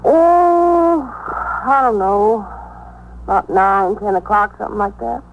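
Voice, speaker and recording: A woman's voice: medium pitch, takes it slowly, narrow, tinny audio. An actress playing a groggy, indignant young American woman with a whiny, slangy manner in 1950s radio drama style. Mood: evasive, groggy. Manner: whiny, slangy, put-upon. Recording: noisy 1950s radio recording.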